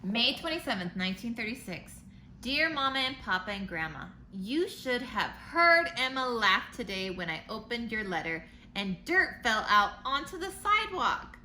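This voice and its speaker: A woman's voice: on the high side, big pitch swings, moderate pace, pushed and bright. A woman reading a storybook in the part of a cheerful, eager young girl writing letters home. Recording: noticeable background noise.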